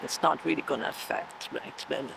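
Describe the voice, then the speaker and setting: Woman, 60s, Brazilian Portuguese accent, professor, reflective, faint background noise. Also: moderate pace. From southern Brazil. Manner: thoughtful, often ends points with "right?". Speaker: cell biology professor. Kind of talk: oral history interview answers.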